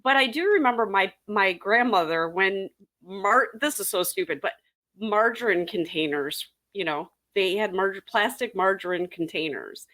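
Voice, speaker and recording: A woman's voice: mid-range voice, moderate pace, fairly bright tone. A woman urology nurse chatting on a panel, rambling with restarts and clinical jargon. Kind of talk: casual panel chat.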